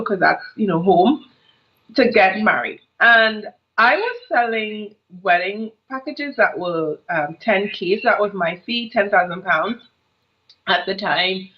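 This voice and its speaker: A woman's voice: mid-range voice, lo-fi sound. A woman in her thirties from Trinidad with a Trinidadian accent, a business coach chatting casually in an interview and telling stories with frequent laughter over an earphone headset. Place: home office with brick wall. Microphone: earphone headset on a video call.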